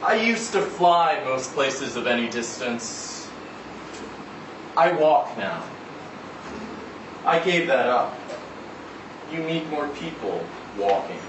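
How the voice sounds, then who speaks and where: Big gruff drifter played by a male storyteller, terse, cryptic, unhurried; roomy, noisy distant recording. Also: medium pitch, big pitch swings. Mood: musing. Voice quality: gruff, rough.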